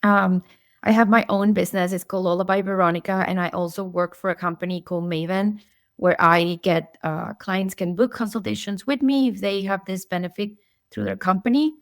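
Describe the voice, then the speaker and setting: A woman's voice: medium pitch, fairly even intonation, brisk, no echo, studio quality. A woman in her thirties from Mexico with a Mexican accent, chatting as a podcast guest in a friendly way, often restarting her sentences. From Monterrey, Mexico. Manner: friendly, with frequent restarts and light laughs. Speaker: guest psychologist and sleep coach. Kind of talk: casual interview chat.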